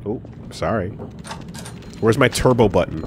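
A man's voice: lowish voice, expressive, measured pace. A man casually commentating a game, reading screen text aloud and joking. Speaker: let's play commentator. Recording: noisy stream mix with game audio.

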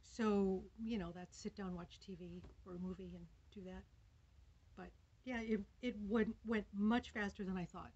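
A woman's voice: medium pitch, fairly even intonation, normal speed, darkish tone, breathy edge. An older woman talks through a quilting demonstration in a friendly, enthusiastic way. She stands at a cutting table in a fabric store aisle. The recording sounds slightly dull and lo-fi. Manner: chatty and enthusiastic. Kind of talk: casual product demo. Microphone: camera in front at table.

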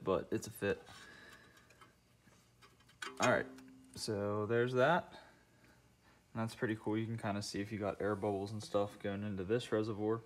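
A young man casually talking through a car repair step by step in his garage, thinking aloud as he works. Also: medium pitch. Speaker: car DIY channel host. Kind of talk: casual how-to narration while working. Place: home garage, concrete floor.

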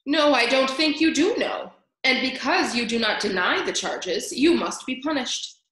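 A woman doing a pompous booming head mouse. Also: fairly bright tone, lightly acted. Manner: booming, commanding, slow formal pronouncements. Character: pompous stern male head mouse, elder judge. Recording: echoey room.

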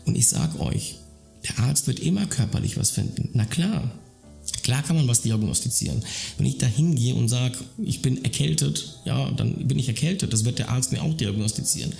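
Gravelly-voiced man talking earnestly to camera. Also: mid-range voice, warm tone. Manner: earnest, rambling, with frequent restarts and fillers. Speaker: YouTuber talking about mental health. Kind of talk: casual explanatory monologue to camera. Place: dim room at home, indoors.

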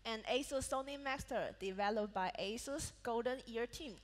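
A woman's voice: on the high side, normal speed. A woman with a Malaysian English accent, presenting a product keynote on stage and reading prepared points with small slips and restarts. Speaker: product manager presenting a laptop launch. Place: large event hall on a stage. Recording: reverberant event hall sound.

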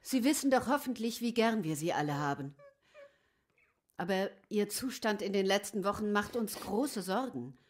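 An actress playing a caring, concerned lady of the house in a radio drama, speaking gently and politely in a coaxing way. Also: mid-range voice, lively intonation, normal speed, lightly acted. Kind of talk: German radio drama acting.

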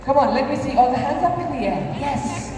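A man's voice: very high-pitched, animated, brisk, a little mellow, soft background music. A man, performing a juggling act and hyping up a live crowd with jokes and patter. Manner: showman hyping a crowd, jokey. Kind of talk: live stage show patter. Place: large echoey mall hall with a crowd. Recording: echoey hall recorded from a distance.

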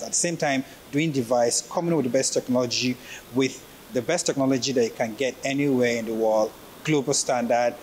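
A middle-aged man with a Nigerian accent answers as a company spokesman in a medium-pitched voice. There is faint event noise around him. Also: darkish tone, low-quality recording. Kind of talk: interview soundbite. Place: indoor launch event. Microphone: handheld reporter microphone.

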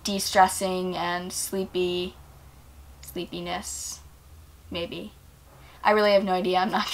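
A woman of twenty answering viewer questions in a casual vlog, relaxed and rambling with many ums and likes, filmed in a small indoor room. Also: mid-range voice. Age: 20s. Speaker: vlogger.

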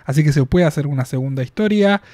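A man's voice: fairly high pitch, animated, a little mellow, no echo. Chatty young Argentine man reviewing a show. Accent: Argentine Spanish. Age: 20s. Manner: chatty, laughs often, drawn-out fillers. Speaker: reaction video host. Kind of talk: casual spoken review. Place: small room in front of packed collectible shelves. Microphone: studio mic on boom arm, headphones on.

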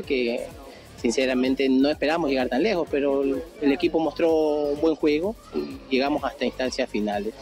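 Slightly high man over music. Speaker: runner-up football player. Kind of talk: post-match interview. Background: clear music.

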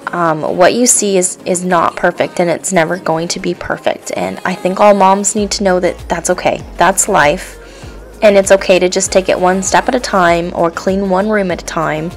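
Chatty mom vlogger over soft music. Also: female voice, fairly low pitch. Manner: chatty, warm and encouraging, with frequent ums. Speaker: stay-at-home mom vlogger. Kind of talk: casual voice-over chat.

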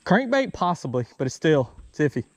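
A man chats casually as he films himself fishing from a boat, with an informal, animated way of talking. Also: a little high, brisk, no room sound. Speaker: fishing vlogger. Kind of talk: casual chat while fishing. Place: outdoors on a small boat on a river. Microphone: body-worn action camera. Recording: outdoor noise, action camera on boat.

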